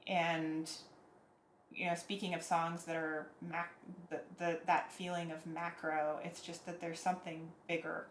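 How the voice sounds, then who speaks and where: A young woman singer-songwriter, soft-spoken, talking reflectively in a casual interview. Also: fairly low pitch, little pitch movement, a little slow. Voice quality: soft, airy voice. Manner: reflective, thoughtful, with frequent fillers. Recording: echoey room, faint noise.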